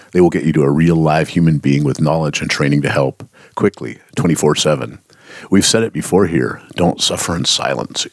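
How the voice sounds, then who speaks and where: A male radio co-host reading a scripted announcement in an earnest, deliberate and caring way. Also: extremely low pitch, no room sound.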